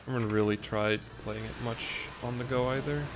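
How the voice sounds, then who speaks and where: A man's voice: medium pitch, fairly even intonation, fairly quick, low-quality recording. A man from the Netherlands whose first language is Dutch, chatting casually in commentary while playing as a speedrunner, with a pronunciation mixing British and American. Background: game music.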